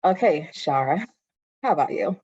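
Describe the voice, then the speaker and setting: A woman's voice: fairly low pitch, expressive, normal speed, mellow, breathy edge. A woman moderating a panel discussion over a video call, playful and teasing as she reacts to the panelists. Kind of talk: lively panel hosting. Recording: dull lo-fi call audio.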